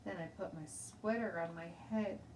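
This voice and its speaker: A woman teacher reading a children's storybook aloud in an expressive, deliberate way. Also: fairly low pitch. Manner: deliberate, animated read-aloud. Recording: roomy, distant mic, faint noise.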